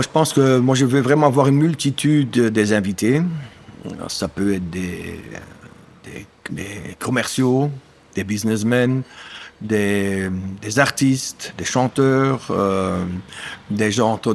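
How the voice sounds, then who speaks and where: A man in his sixties, a dairy farmer from German-speaking Belgium with a German accent, speaking French as a second language, haltingly searching for words in a conversational podcast interview. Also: mid-range voice, a little mellow, a little hiss or hum. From German-speaking eastern Belgium.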